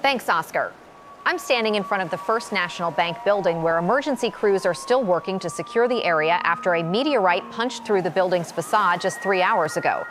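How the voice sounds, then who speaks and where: A woman's voice: fairly low pitch, expressive, fairly quick, lightly acted. A woman playing a serious field reporter giving a formal, descriptive live report in an audio drama. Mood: serious, urgent. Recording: clearly noisy ambient background.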